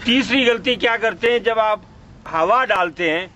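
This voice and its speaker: A man's voice: high voice, moderate pace, pushed and bright, highs cut off. A man giving a hands-on first aid demonstration, explaining step by step and checking that listeners follow. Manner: instructive, step by step, checks understanding.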